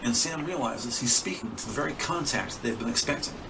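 A man's voice: mid-range voice, a little fast, noisy background. A man reading scripted narration for a detective audio drama. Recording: music under the voice. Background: dramatic score music.

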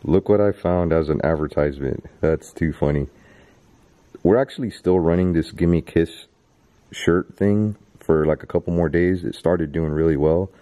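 A man in his thirties hosting a vlog, chatting to the camera and reading off viewer names while exploring a dark abandoned stadium at night. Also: deep voice, moderate pace. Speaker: YouTube host. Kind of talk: casual vlog narration. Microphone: handheld camera held close.

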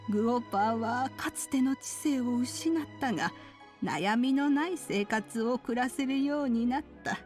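A woman voicing a wise, gentle elderly woman in a Japanese game, speaking in a measured, old-fashioned elder manner. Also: fairly high pitch, faint music behind, natural voice. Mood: gentle, reassuring.

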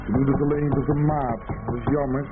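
A man's voice: mid-range voice, steady tone, brisk, lightly acted. A man playing a lazy, mocking boyfriend in a radio drama, offhand and grumbling. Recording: narrowband old radio recording, music behind.

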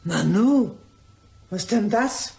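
A woman's voice: mid-range voice, animated, extremely slow, gravelly, darkish tone, slight background noise, full character voice. An actress playing a blunt, chatty, grumbling cleaning woman in a crime radio play. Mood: puzzled, complaining. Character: grumbling, cheeky cleaning woman of a Parisian gentleman.

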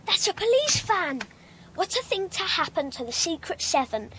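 A woman playing an anxious, excitable young schoolboy with an English accent in a children's audio drama. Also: extremely high pitch, moderate pace, a little hiss or hum, full character voice. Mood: excited.

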